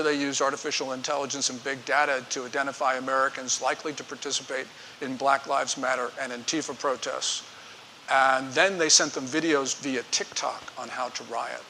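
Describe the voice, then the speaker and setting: A man's voice: mid-range voice, sharp tone. A middle-aged man giving an emphatic, editorializing talk as guest speaker, answering audience questions. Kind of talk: lecture with audience Q&A. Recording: reverberant hall with faint hiss.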